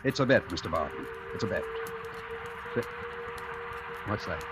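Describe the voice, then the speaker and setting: An actor playing a proud, romantic wandering painter in 1940s radio drama style, speaking in measured, poetic, literate phrases. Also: male voice, low voice, expressive, background noise. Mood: defiant. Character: proud romantic itinerant painter, thoughtful and defiant. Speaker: lead actor and narrator. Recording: old radio recording with music.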